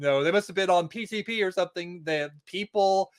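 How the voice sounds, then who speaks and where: A man with a somewhat high voice talks casually as a podcast guest. He explains his points at length, rambling and restarting phrases as he goes. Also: fairly quick. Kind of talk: casual chat about a TV episode.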